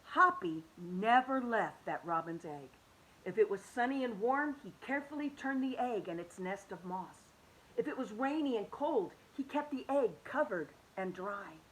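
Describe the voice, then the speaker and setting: A woman reading a children's picture book aloud, expressive and animated, dropping to soft hushed tones. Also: mid-range voice, normal speed, a little hiss or hum, poor audio. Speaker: children's story reader.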